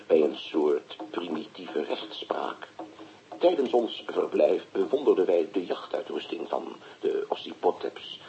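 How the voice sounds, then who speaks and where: Earnest expedition lecturer, very low mellow voice, formal delivery, muffled lo-fi playback in a radio play. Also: male voice. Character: earnest male expedition member giving a recorded account. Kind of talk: lecture-like narration in a radio drama. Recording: dull, muffled, noisy played-back recording.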